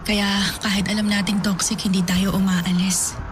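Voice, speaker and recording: A woman's voice: medium pitch, level tone, moderate pace, noisy background, lightly acted. Woman voicing a wry, resigned young woman. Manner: wry, resigned, laughs off pain. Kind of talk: Filipino radio-style drama acting.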